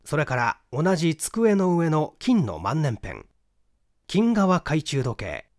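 A man narrating a mystery story from a script in an expressive storytelling manner. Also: on the high side, slow, no room sound, studio quality. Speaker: audiobook narrator, stage actor.

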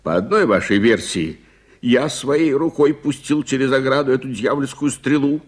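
An actor in a Soviet radio play playing an irritable, impatient middle-aged gentleman, brusque and commanding. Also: mid-range voice, moderate pace, husky, lightly acted. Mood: irritated, impatient. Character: irritable, impatient gentleman suspect, uncle of a nephew.

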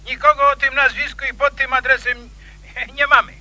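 High, sharp-voiced telephone operator man. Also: little pitch movement, normal speed, full character voice. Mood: businesslike. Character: polite, businesslike male directory enquiries operator. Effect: telephone filter. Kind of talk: radio drama acting.

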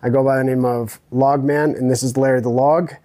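A man in his thirties from New Hampshire answers as an interview guest in a conversational way. His voice is medium in pitch. The recording is dry and close, from a clip-on mic indoors. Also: little pitch movement, a little fast, mellow, no echo. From New Hampshire, United States. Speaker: interview guest, thru-hiker and army veteran. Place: small hotel room, indoors. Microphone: clip-on lavalier on the chest.

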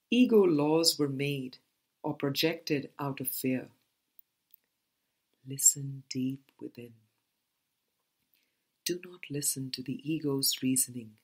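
A woman reading a spiritual book aloud as scripted narration, in a measured, deliberate manner with pauses between phrases. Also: sits low. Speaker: audiobook reader.

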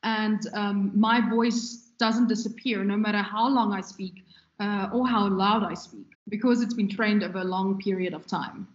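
A woman giving a measured, instructive lecture on radio presenting, with a trained, loud voice and frequent ums. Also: mid-range voice, steady tone. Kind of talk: recorded lecture. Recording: echoey, dull lo-fi room recording.

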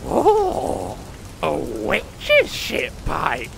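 An actor voicing an excitable, crude gnome for a comedy fantasy cartoon, exclamatory and stammering. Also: medium pitch, drawn-out words, creaky, full character voice. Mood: gleeful. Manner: exclamatory, stammering, swooping delivery. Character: excitable foul-mouthed gnome potion-thrower, gleefully crude. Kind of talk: comedic animated fantasy voice acting. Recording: echoey sewer-like reverb with noise.

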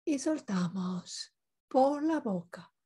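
A woman leading a guided meditation, giving calm instructions in short phrases with pauses between them. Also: fairly high pitch, slightly rough.

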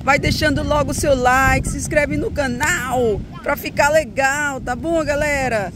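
A woman narrating children's toy play outdoors in a sing-song, animated way, with playful sound effects, recorded on a phone. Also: high-pitched, measured pace. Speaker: host of a kids' toy channel. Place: outdoors on grass in a beach park. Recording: outdoor noise with passing traffic.

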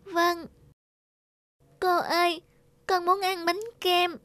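A woman's voice: extremely high pitch, level tone, slow pace, fairly bright tone, dry recording, exaggerated voice. A woman voicing a sweet little girl patient in a cartoon dub. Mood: sweet, childlike. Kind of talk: cartoon dubbing.

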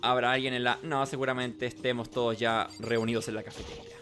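A man's voice: mid-range voice, little pitch movement, fairly quick, bright, forceful tone. A man streaming a video game, reading its dialogue aloud with casual commentary. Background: game music.

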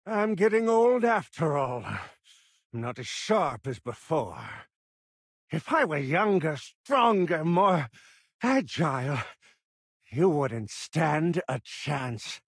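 An actor playing a proud, bitter aging gang boss in a video game, theatrical and volatile, with a gravelly, husky voice. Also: male voice, on the high side, very animated, slow, dry recording, clean studio sound, full character voice. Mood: weary defiance. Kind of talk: video game character acting.

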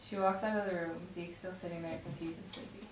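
A woman's voice: lowish voice, fairly even intonation, fast. Young woman doing a table read, far from the mic, lots of noise. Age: young adult. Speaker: cast member reading stage directions at a table read. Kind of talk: script read-aloud and casual chat. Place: home dining room. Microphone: far camera. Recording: distant mic, echoey room, loud noise.

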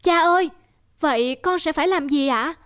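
High-voiced proud young schoolboy. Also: female voice, normal speed, a bit bright. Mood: eager, confident. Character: proud, eager young schoolboy, official's son. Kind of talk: animated film dialogue. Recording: music behind.

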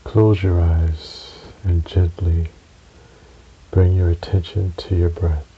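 A man narrating a guided relaxation meditation in a soothing, measured way, with long pauses between short phrases. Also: sits low, flat delivery, husky. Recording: dull recording with audible hiss.

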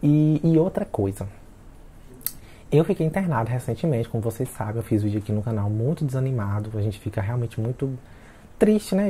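A man's voice: mid-range voice, warm tone, a little hiss or hum. A man in his late twenties chatting casually to his viewers, talking straight to them and keeping them involved throughout. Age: 20s. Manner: chatty, keeps addressing viewers as "gente". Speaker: vlogger. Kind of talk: casual chat to camera.

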